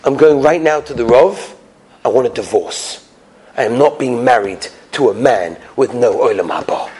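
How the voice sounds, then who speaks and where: A man voicing a firm, indignant wife who insists and threatens in an animated oral story. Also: fairly high pitch, moderate pace, voice pushed down. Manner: stern, insistent.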